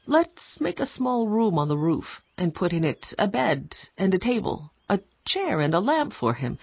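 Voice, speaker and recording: A woman plays a devoted, determined woman of means who speaks earnestly, her voice pitched in a medium range. The audio is dry and somewhat dull, with music underneath. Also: big pitch swings, a little slow, vocal fry, husky, dry recording, heavily acted. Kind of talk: dramatized audio scripture.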